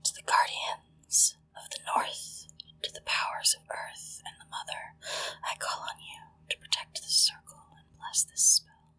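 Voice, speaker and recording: A woman whispering gently and deliberately into a close microphone, leading a ritual in ASMR style with hushed pauses. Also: low-quality recording. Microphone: close-up sensitive microphone.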